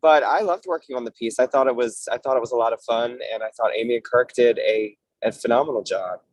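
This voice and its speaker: A man's voice: mid-range voice, fast talker, no echo. Chatty man, dry close sound. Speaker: theater director guest. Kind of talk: casual panel discussion.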